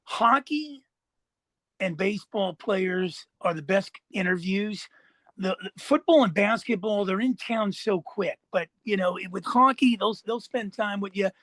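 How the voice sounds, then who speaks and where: A man's voice: high voice, unhurried, fairly bright tone, no room sound. An American man hosting a casual interview, rambling and agreeable as he talks. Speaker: podcast host, interviewer.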